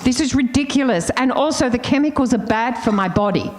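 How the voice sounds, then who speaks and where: Loud, laughing older Australian woman. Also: high-pitched, lively intonation, a little fast. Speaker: panelist. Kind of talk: casual storytelling on a panel.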